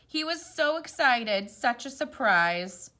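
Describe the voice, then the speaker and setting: Animated woman reading a children's storybook. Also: fairly high pitch, slow pace. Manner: expressive, explanatory, addressing young children. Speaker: storytime reader. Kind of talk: animated children's picture-book read-aloud with casual asides.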